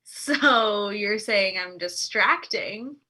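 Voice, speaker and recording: A woman's voice: mid-range voice, extremely slow, sharp tone, exaggerated voice. A woman playing a lovestruck, chatty bakery co-worker in her twenties, flirty and playful in a comedy table read. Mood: flirty, giddy.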